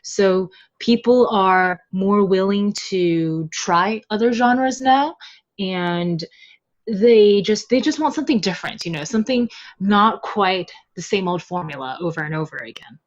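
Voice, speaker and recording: Female podcast guest, mid-pitched voice, casual explanatory chat, slightly dull low-fidelity recording. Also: measured pace. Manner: chatty, explanatory, informal. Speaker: podcast guest, web novel translator.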